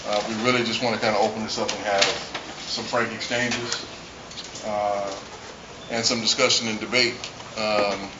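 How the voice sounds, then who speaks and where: Halting man moderating a panel. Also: on the low side, level tone. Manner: loose, halting phrasing. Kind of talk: conference panel talk. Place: conference hall. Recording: muffled, noisy, roomy, from far off.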